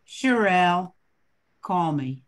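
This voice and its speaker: A woman's voice: lowish voice, lively intonation, very slow. An older woman playing a homely, hospitable widowed grandmother in an amateur radio play. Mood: homely, chatty.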